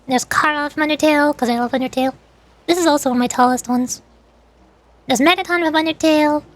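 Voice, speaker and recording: A woman's voice: high voice, quick pace, fairly bright tone. A young woman streamer chatting casually with her viewers, rambling as she shows old screenshots. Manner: chatty, rambling, reads chat aloud.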